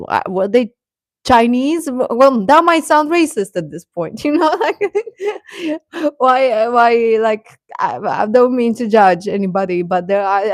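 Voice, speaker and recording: A woman hosting a casual livestream, chatting and commenting in a hesitant, halting way. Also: fairly high pitch, no room sound, clean studio sound. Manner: hesitant, halting, chuckles often while talking. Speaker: livestream host reading viewer comments.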